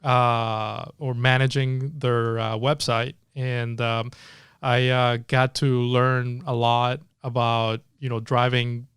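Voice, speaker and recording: Man with a flat, crisp dry voice. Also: mid-range voice, takes it slowly, dry recording. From Venezuela. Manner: hesitant, many fillers and restarts. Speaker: tech entrepreneur guest. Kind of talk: casual interview storytelling.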